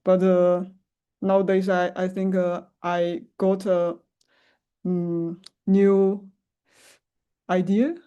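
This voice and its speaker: A woman's voice: fairly low pitch, level tone, takes it slowly, long pauses, dark tone, clear, resonant tone, dull audio. A woman presenting her project in an online class, speaking hesitantly with many fillers over a video call. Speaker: masterclass participant.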